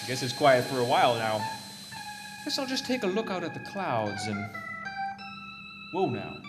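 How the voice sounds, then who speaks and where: A man's voice: mid-range voice, big pitch swings, normal speed, long reverb tail, loud music behind the voice, lightly acted. A man playing a folksy Minnesota pilot. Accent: put-on Minnesota. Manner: folksy, blustering, patriotic. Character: gung-ho male American balloon pilot major from the 1950s.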